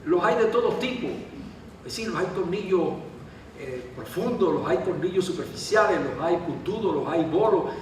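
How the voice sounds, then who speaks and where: A man's voice: sits high, expressive, moderate pace. A man from Cuba, a writer, telling a self-deprecating anecdote and answering questions at a literary gathering. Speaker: author. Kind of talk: storytelling talk and answering questions. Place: large event hall. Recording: echoey hall from far off, faint noise.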